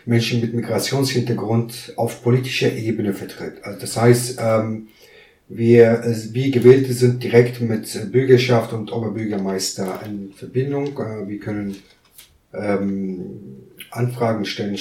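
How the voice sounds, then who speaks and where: A man in his thirties, a podcast guest, answering earnestly and haltingly with many fillers. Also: lowish voice, mellow. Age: 30s. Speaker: podcast guest, council member. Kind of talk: casual interview answers. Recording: roomy echo, mic at a distance.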